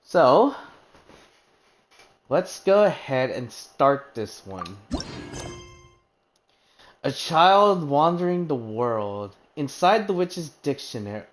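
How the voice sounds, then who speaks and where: A man's voice: on the high side, sing-song, takes it slowly, long pauses. A man streaming a game live, reacting in a chatty, emotional way with sighs. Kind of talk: casual live gameplay commentary.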